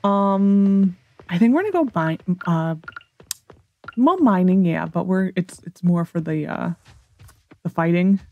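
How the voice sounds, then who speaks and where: A woman's voice: on the low side, lively intonation, unhurried, pauses often, phone-quality sound. A woman with a General American accent giving casual gameplay commentary, rambling as she thinks aloud to herself. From United States. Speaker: gaming video host.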